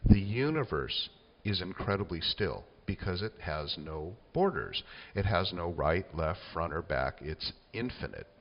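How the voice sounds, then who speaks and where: A man in his sixties hosting a casual spiritual monologue, musing and unhurried, with many fillers like "you know" and "uh.". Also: low-pitched, expressive. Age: 60s. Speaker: channel host. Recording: echoey, dull lo-fi room sound.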